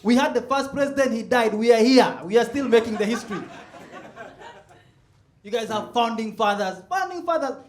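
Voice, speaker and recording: A man works a stand-up set in a comedy club. His voice sits fairly high, and he keeps chuckling as he pushes toward loud punchlines. The sound is roomy, like a live club. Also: normal speed, long pauses. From South Sudan. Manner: laid-back, chuckles at his own jokes, builds to loud punchlines.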